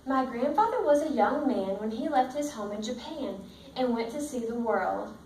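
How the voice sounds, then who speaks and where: A woman reading a picture book aloud as a teacher, pausing to put simple questions to young pupils. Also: fairly high pitch, lively intonation, darkish tone. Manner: checks understanding with simple questions. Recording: roomy, distant mic, faint background noise.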